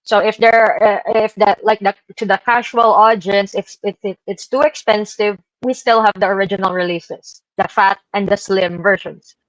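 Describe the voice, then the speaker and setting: A woman's voice: medium pitch, fairly even intonation, moderate pace, dry recording, rough recording. A woman giving informal, opinionated commentary as a panelist on a gaming talk show.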